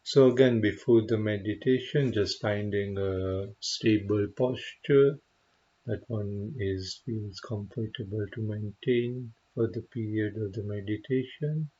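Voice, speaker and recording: Male meditation guide, lowish husky voice, gentle and very unhurried delivery, slightly muffled recording. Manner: gentle, unhurried, pausing often. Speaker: meditation teacher.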